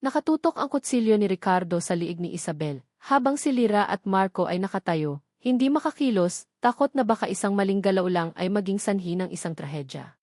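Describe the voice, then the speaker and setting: A woman's voice: mid-range voice, lively intonation, brisk, darkish tone, no echo, clean studio sound. A woman reading a scripted dramatic story as its narrator.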